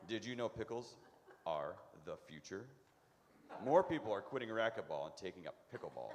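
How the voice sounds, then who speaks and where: A man's voice: lowish voice, very animated, moderate pace, darkish tone. A man playing an eccentric, proud and touchy pickle fanatic who lectures boastfully in a live radio comedy. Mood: proud, excited. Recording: noisy, reverberant hall stage recording.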